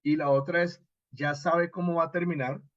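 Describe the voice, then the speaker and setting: A man giving an informal online lecture as an instructor, expressive and didactic, often checking in with short questions. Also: fairly high pitch, normal speed, dry recording, highs cut off. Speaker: radio drama instructor.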